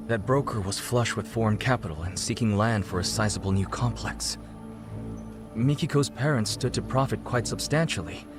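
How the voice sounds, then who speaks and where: A man's voice: on the low side, close to an everyday voice. A man acting a polite, anxious middle-aged father and husband in a dubbed video game cutscene, formal and earnest in his speech. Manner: polite, formal, earnest, exclaims when upset.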